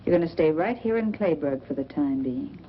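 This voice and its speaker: A woman's voice: low-pitched, animated, moderate pace. An actress playing a shrewd, world-weary woman drawn to bad men, knowing and sardonic in a 1940s noir style. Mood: cool, knowing. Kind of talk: 1940s film noir acting. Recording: dull, noisy old film soundtrack.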